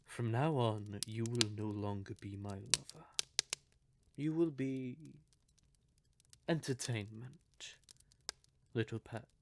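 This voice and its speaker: A man's voice: medium pitch, noisy background. A man playing a betrayed, possessive vampire lover in an audio drama monologue, theatrical, condescending and coldly controlling. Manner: theatrical, slow, condescending, deliberate.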